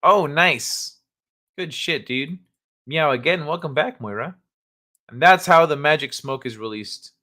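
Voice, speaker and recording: A man hosts a casual live stream in a slightly higher than usual voice. He rambles and jokes loosely, swears freely and laughs often. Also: a little slow, bright, forceful tone. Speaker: livestream host. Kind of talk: casual live-chat talk.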